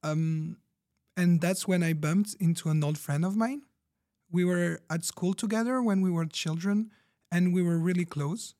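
A man's voice: high voice, unhurried, mellow. A man playing a proud, logic-minded young podcast guest, telling his story carefully and earnestly. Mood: earnest, self-assured. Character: logic-obsessed, proud, hardworking young guest from rationalist community. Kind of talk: fiction podcast backstory monologue.

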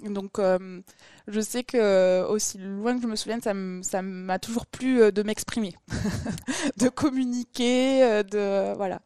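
A woman's voice: mid-range voice, fairly even intonation, measured pace, warm tone, clear, resonant tone, no room sound. Hesitant woman with a Toulouse accent. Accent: Toulouse, southern French. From Toulouse, France. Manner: hesitant, many fillers. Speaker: podcast guest. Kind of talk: casual interview chat.

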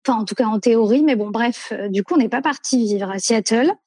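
Woman in her thirties, mellow voice. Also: on the high side, brisk, husky, no echo, studio quality. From France. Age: 30s. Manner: rambling, many fillers and self-corrections. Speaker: guest. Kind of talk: casual conversational interview.

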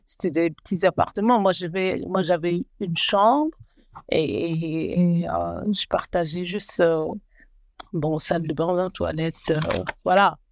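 A woman in her forties, a guest telling her life story slowly and hesitantly, often restarting as she searches for words. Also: lowish voice, dry recording, studio quality. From Belgium and Rwanda. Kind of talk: personal life story interview.

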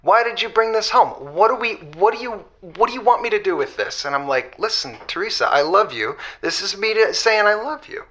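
Man acting bright, rambling, sheepish romantic radio caller. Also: sits high, lively intonation. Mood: pleading. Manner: rambling, halting, repeats himself. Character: sheepish, defensive, hopelessly romantic junk-collecting husband. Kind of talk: semi-improvised comedic audio drama.

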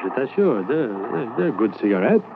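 An actor playing a kindly, hopeful constable who speaks halting English mixed with words, in a 1950s radio drama. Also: male voice, medium pitch, sing-song. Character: French-Canadian Mountie constable, kindly, hopeful. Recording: tinny old radio transcription, hiss.

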